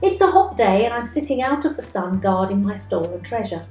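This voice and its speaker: A woman's voice: mid-range voice, sing-song, fairly quick, warm tone, echoey room, soft background music, lightly acted, rough recording. A woman voicing a chatty, nosy storybook magpie, a reformed thief who confides in the listener expressively. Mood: chatty, confiding.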